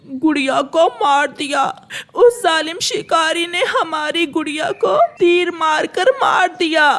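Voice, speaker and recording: A woman voicing a sorrowful, helpless father bird in a cartoon, speaking in a mournful, halting way. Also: very high voice, lively intonation, a bit bright, exaggerated voice. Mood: grieving.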